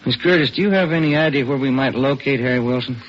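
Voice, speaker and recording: Guy acting polite brisk cop from old radio, quick talker, muffled recording. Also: medium pitch, natural voice. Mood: courteous, businesslike. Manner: clipped, polite. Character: brisk, courteous male police officer, businesslike. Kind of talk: 1950s radio drama acting. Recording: muffled, slightly noisy vintage radio recording.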